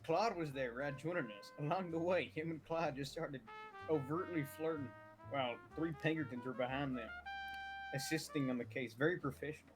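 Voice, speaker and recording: A man's voice: a little high, moderate pace, music in the background, low-quality recording. A man bantering in character as a police chief in a roleplay, often stumbling over his words.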